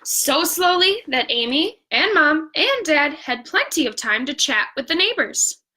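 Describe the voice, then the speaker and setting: A woman's voice: high-pitched, big pitch swings, unhurried, sharp tone. A woman reading a picture book aloud as a bedtime story, drawing out words for emphasis and adding asides to her viewers.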